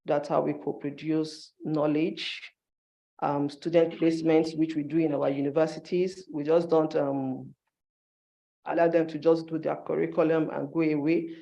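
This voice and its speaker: A man's voice: a little high, level tone, moderate pace, creaky, warm tone, low-quality recording. A man giving an instructive conference presentation in short, emphatic phrases, as a university staff member.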